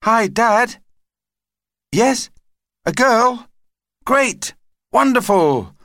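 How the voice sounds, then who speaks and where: A man puts on a young boy for a children's story. He sounds polite, earnest and easily excited, lifted well above a man's usual pitch, in a dry, close recording. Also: moderate pace, no room sound.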